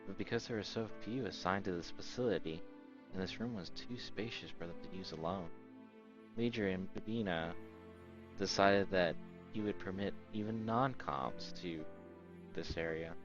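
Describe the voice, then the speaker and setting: A man's voice: sits low, moderate pace, dull audio. A man reading a visual novel aloud as a let's-play host, narrating with casual asides and occasional stumbles. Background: game background music.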